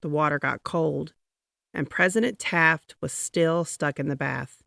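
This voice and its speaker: Slow, expressive woman reading a storybook. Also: low voice, gravelly, no room sound, clean studio sound. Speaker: storybook reader. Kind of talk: expressive read-aloud narration for children.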